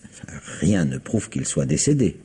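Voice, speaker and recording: A formal, cautious notary in a vintage radio drama. His very deep voice is mellow and strongly breathy. He weighs his words with polite, hesitant pauses. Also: sing-song, full character voice. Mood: explaining. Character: cautious, formal middle-aged male notary.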